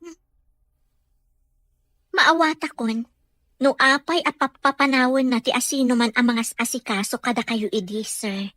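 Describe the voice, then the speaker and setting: A woman's voice: high-pitched, a little slow, long pauses, bright, forceful tone, dry recording, clean studio sound. A woman playing a caring, respectful physical therapist in an Ilocano radio drama, with a Filipino accent and a polite, deferential manner. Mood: concerned, questioning. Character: caring, respectful female physical therapist encouraging her patient.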